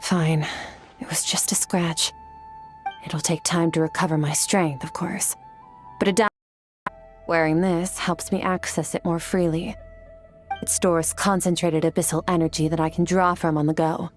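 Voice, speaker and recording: A woman's voice: lowish voice, little pitch movement, normal speed, close to an everyday voice. A woman playing a stoic, battle-hardened swordswoman in a video game, speaking in a terse, matter-of-fact, composed way. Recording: game music under the voice.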